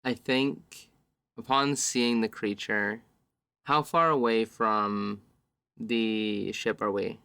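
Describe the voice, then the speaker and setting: A man playing a tabletop roleplaying game, describing scenes as he improvises them, piecing his sentences together in halting fragments. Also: on the low side, steady tone, vocal fry, bright, forceful tone, clean tone, no room sound.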